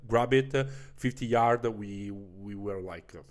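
A man with a fairly low voice and a strong Italian accent talks casually in an interview. His English is halting as a native Italian speaker, and he often searches for words. He holds the microphone in his hand. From Milan, Italy. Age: 30s. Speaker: podcast guest, American football player. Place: small furnished room indoors. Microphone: handheld microphone.

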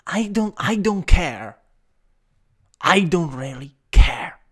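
A man with an Italian accent improvising ASMR as a teasing, domineering older stepbrother, in a halting, intimate, breathy voice that often drops to a whisper. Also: high voice, lively intonation, fairly bright tone. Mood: dismissive. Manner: slow, intimate, halting delivery. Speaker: ASMR roleplay performer. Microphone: close USB microphone.

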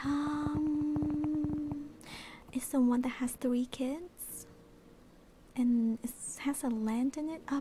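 Young woman streamer, slight accent, unhurried breathy ASMR whisper, hissy close-mic audio. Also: sits high. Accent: slight non-American accent. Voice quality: soft airy whisper. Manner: relaxed, rambling, reads chat aloud. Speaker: VTuber streamer.

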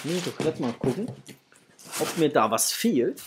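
A man's voice: on the high side, sing-song, moderate pace, very noisy. A middle-aged man gives a casual unboxing chat to viewers, enthusiastic and full of superlatives. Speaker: toy collector vlogger, host. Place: small indoor home room with framed posters.

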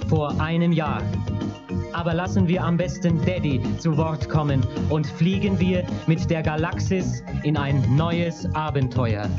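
A man's voice: on the high side, a little slow. A man giving scripted narration for a radio play. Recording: dull cassette under loud music.

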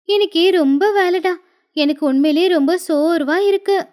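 A woman's voice: very high-pitched, normal speed, a little mellow, voice pushed up. A woman voicing a weary, hardworking orphan girl, an earnest and plaintive elder sister in a cartoon story.

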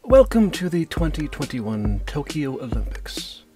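A man acts as a pompous male ceremony announcer for a comic dub. His pitch leaps about excitably, often climbing high. Music runs underneath. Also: a little slow. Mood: grand announcing. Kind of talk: comic dub character acting.

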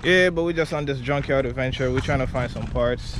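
A young man vlogging casually with friends, talking slow, slangy and low-voiced as he films at a junkyard on a handheld camera. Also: a bit bright. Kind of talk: casual chat. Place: outdoor junkyard. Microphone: handheld action camera. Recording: noisy outdoor camera audio.

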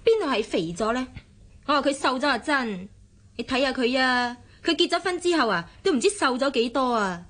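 A woman's voice: high voice, lively intonation, a little fast, a bit bright. An actress playing a bossy, suspicious married woman meddling in a friend's marriage in a radio drama, with a Hong Kong Cantonese accent. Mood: insistent.

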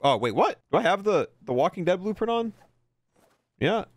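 High-pitched, animated man reviewing games. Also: normal speed. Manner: chatty, opinionated, playful exclamations. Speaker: video game bundle reviewer. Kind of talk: casual review commentary over gameplay.

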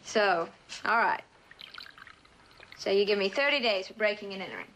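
An actress playing a tough young American drifter, slangy, defensive and wisecracking, in a mid-century crime drama. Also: female voice, mid-range voice, expressive, normal speed, a bit bright, a little hiss or hum, lightly acted, poor audio. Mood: defiant, sullen. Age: young adult. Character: tough, defiant young female drifter with petty-crime record.

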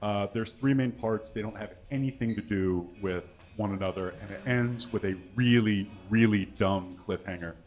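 A man giving a comic reading on a small stage at a stand mic, deadpan and mock-earnest. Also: on the low side, moderate pace, few pauses, dull audio. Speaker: guest reader. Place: small stage with curtains and brick wall.

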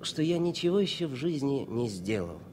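An actor playing a regretful young airman afraid of dying, halting and wistful, in a 1970s Soviet radio play. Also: sing-song, dark tone, lightly acted. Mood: fearful, wistful. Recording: noisy vintage radio recording with rumble.

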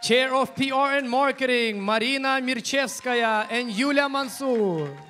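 A man's voice: very high voice, sharp tone, a faint crowd, a little hiss or hum. Formal male co-host on a gala stage. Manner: formal, slightly halting. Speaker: event co-host. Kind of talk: reading introductions. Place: large banquet hall stage. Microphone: handheld microphone.

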